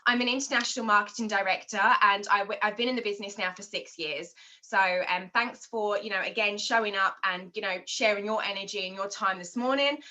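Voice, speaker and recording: A woman's voice: mid-range voice, a little fast, few pauses, pushed and bright. A woman with an English accent from London leading a motivational training call, asking direct questions. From London, England.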